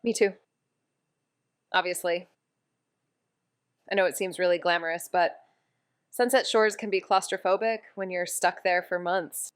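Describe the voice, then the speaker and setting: Actress as crisp-voiced, wry, sweary young sleuth. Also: female voice, lowish voice, a little slow, pauses often, no echo, clean studio sound, close to an everyday voice. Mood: wry, awkward. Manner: deadpan, sarcastic, casual. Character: wry, sweary, self-deprecating small-town woman amateur sleuth. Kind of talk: naturalistic audio drama dialogue.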